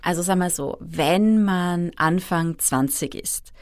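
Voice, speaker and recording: Low-voiced woman explaining finance. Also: slow pace, dry recording, studio quality. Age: 30s. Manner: explanatory, methodical. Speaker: finance expert guest. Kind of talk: interview answers.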